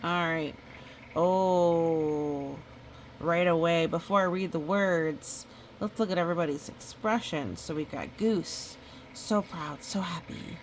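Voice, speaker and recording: A woman reading a picture book aloud in a chatty, expressive way, with casual asides. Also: lowish voice, takes it slowly, a little hiss or hum, rough recording. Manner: chatty, expressive storytelling with playful sound effects. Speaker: storybook reader.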